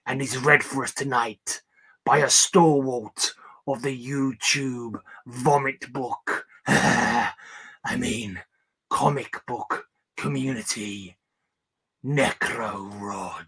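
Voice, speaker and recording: Slow, husky ghoulish horror host. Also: male voice, medium pitch, fairly bright tone. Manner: playfully ghoulish, slow and deliberate. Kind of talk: macabre hosting.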